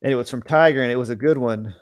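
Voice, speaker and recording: A man co-hosting a podcast as an author, talking casually in halting, measured phrases. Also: mid-range voice, no echo. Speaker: podcast co-host and fantasy author.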